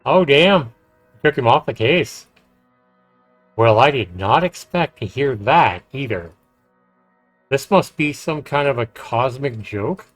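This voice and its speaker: A man streaming a visual novel, reading its narration aloud in a measured storytelling way with casual commentary. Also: mid-range voice, no room sound. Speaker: game streamer. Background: game music.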